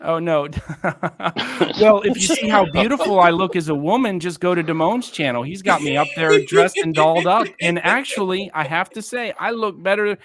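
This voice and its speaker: A man's voice: sits high, normal speed, crowd noise. A man hosting a livestream panel, needling the guests in casual banter and laughing often.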